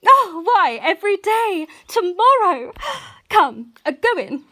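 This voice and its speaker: A woman playing a lively, mischievous young gentlewoman in a Shakespearean radio play, with theatrical, emphatic delivery. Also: very high voice, slow, heavily acted. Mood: gleeful, teasing. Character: lively scheming young gentlewoman about to be married. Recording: recorded separately at home.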